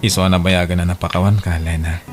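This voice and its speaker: Casting: man to play resolute, hurt husband, deep Ilocano-accented voice, radio drama with prominent music. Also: flat delivery, fast talker, close to an everyday voice. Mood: hurt, firm. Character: wounded, resolute husband abandoned by his wife.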